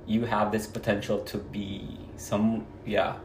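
Hesitant low-voiced Filipino man. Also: monotone, slow pace, roomy sound, a little hiss or hum, low-quality recording. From Philippines. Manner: hesitant, soft-spoken with many fillers. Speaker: interview guest. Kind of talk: casual personal conversation.

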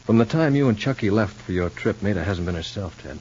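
A man playing an earnest, worried, ordinary fellow in a 1950s radio soap opera, speaking in a halting way. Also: lowish voice, lively intonation, a little mellow, close to an everyday voice. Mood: hesitant, uneasy. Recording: muffled old radio recording with hum.

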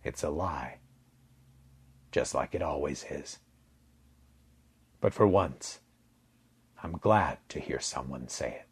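Man, deep voice, very husky, slow dramatic fiction narration. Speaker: story narrator.

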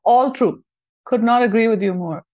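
A woman's voice: a little high, moderate pace, darkish tone, no echo. Woman, Indian accent, thoughtful, explanatory, conversational interview answers, dry close sound, slightly dull recording. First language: Hindi. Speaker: podcast guest, English language teacher and trainer. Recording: dull lo-fi audio.

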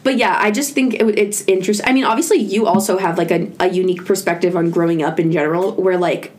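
A woman's voice: lowish voice, steady tone, quick pace, a little mellow. A woman in her early twenties hosting a podcast, chatting informally and peppering her talk with "like". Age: early 20s. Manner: chatty, informal, heavy use of "like". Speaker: podcast host. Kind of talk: casual chat.

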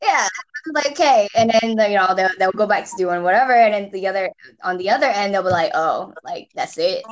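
A woman's voice: mid-range voice, brisk, lo-fi sound. A young woman from Singapore with an American accent that carries Singaporean traces, chatting expressively as a podcast guest and laughing often. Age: young adult. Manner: lively, expressive, laughs often, swears casually. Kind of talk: casual chat.

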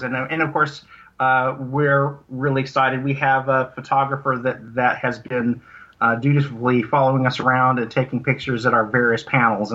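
A man chatting casually as an interview guest about his creative work, haltingly, often repeating words and restarting. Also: mid-range voice, pushed and bright, dull audio. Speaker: radio drama writer and producer, interview guest.

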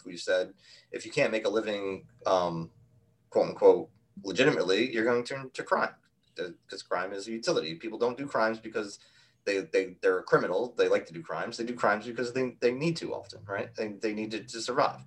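A man co-hosting a podcast in casual discussion, halting and repeating words as he reasons aloud. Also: lowish voice, fairly bright tone. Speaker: podcast co-host.

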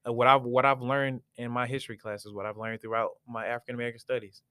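A young man hosting a casual podcast discussion, talking in a relaxed, halting way and often repeating himself. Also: mid-range voice, smooth, no room sound, studio quality. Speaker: podcast host.

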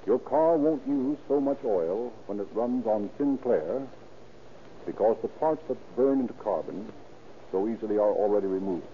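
A man's voice: lowish voice, narrow, tinny audio. A man narrating and announcing in a formal, declamatory 1930s radio style. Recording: thin, hissy 1930s radio recording.

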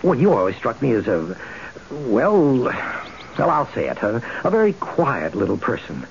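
A man's voice: medium pitch, huge pitch swings, gravelly. A jittery guy in an old radio drama, stammers, voice swoops around, faint hiss. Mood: puzzled, nervous. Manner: halting, stammering. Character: nervous, awestruck male neighbour. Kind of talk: 1970s radio drama acting. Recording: dull, hissy old radio recording.

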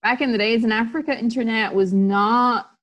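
A woman's voice: fairly high pitch, steady tone, moderate pace, fairly bright tone. Chatty woman telling her story. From Tanzania. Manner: chatty storytelling with many fillers and restarts. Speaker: sports psychologist guest. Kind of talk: casual interview talk.

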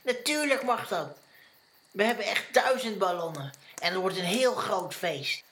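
A woman's voice: mid-range voice, expressive, a little slow, fairly bright tone. A woman voicing a childlike, playful little fantasy creature full of wonder in a musical children's audio drama. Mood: friendly, curious. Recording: roomy, with prominent music underneath.

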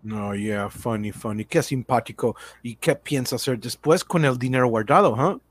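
Radio comedy: male actor as officious cop, medium pitch, mocking and pushy, slips English into his Spanish. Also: moderate pace, close to an everyday voice. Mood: sly, mocking. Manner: mixes English into Spanish, sardonic. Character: smug, officious New York policeman, bullying a foreigner. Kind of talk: comic radio drama acting.

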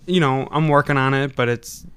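A young man with a Midwestern Wisconsin accent who rambles and corrects himself as he co-hosts a chatty podcast. His voice is smooth and clear, recorded close and dry with no room sound. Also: mid-range voice, steady tone, a bit bright, no room sound. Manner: rambling, self-correcting, quick to laugh. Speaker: podcast co-host. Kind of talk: casual chat.

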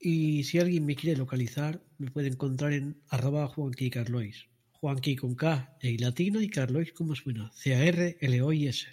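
Husky man from Toledo. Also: mid-range voice, moderate pace, a little mellow. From Toledo, Spain. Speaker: podcast contributor. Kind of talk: casual self-introduction.

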